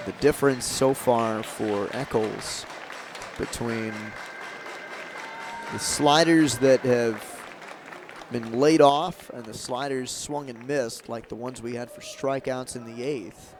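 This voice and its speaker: A man doing live radio play-by-play of a baseball game as the announcer, in measured calls with emphasis on key moments. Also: medium pitch. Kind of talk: live radio sports commentary. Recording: crowd and ambient stadium noise behind. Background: ballpark crowd murmur.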